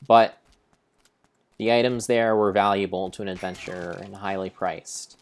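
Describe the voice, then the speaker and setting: A young man narrating gameplay as a solemn, deliberate, theatrical story. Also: deep voice, steady tone. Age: young adult. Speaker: game streamer. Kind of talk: dramatic storybook-style narration over gameplay.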